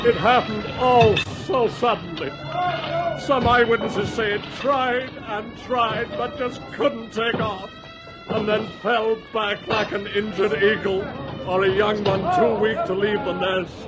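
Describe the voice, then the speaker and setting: A very high-pitched distraught news reporter. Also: male voice, fairly even intonation, measured pace, loud music behind the voice, low-quality recording. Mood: distraught, dramatic. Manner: florid, emotive. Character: florid, distraught reporter at a disaster scene. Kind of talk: radio drama acting as on-scene news report.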